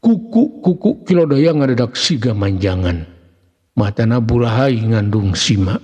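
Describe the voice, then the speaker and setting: A man with a Sundanese accent narrating a folk tale in a theatrical, expressive way, with a husky voice. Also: medium pitch, measured pace, highs cut off. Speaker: storyteller. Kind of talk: dramatic scripted narration of a folk mystery tale. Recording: long hall-like reverb.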